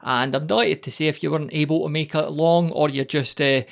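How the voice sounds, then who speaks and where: Man hosting, higher-pitched, slightly creaky. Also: steady tone, fast. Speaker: podcast host and interviewer. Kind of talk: casual chat.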